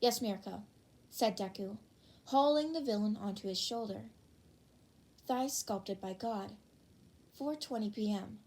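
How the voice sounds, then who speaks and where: A woman's voice: a little high, warm tone. A woman narrating fan fiction as an audiobook reader, working from a script.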